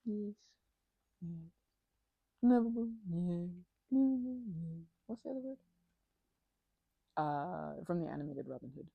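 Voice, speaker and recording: A woman's voice: on the low side, expressive, unhurried, gravelly, pauses often, husky, dry recording, narrow, tinny audio. A woman doing a casual ASMR roleplay as a chatty, scatterbrained best friend, rambling playfully from one tangent to the next.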